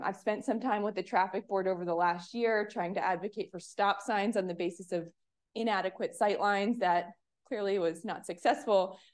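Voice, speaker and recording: A woman in her thirties from Pennsylvania, giving an earnest, measured public comment at a municipal commission meeting on a podium microphone. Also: medium pitch, darkish tone. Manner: earnest, measured, reads clearly.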